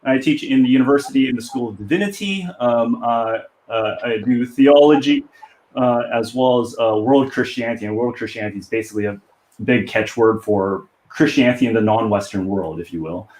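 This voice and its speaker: A man with an American accent, a university lecturer, talking casually and haltingly as a panelist. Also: mid-range voice, darkish tone. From United States. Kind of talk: casual self-introduction. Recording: roomy, echoey sound.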